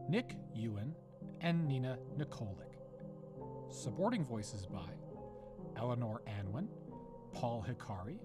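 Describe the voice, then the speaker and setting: A man presenting a scripted outro and reading end credits as producer of an audio drama. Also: mid-range voice. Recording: clear music under the voice.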